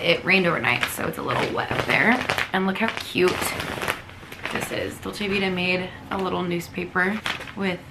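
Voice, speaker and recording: A woman's voice: mid-range voice, vocal fry. A young woman vlogging casually to camera from a hotel bed, her voice scratchy, her talk chatty and gushing. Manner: chatty, gushing, filler-heavy. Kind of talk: casual vlog chat to camera. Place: hotel bedroom, sitting up in bed.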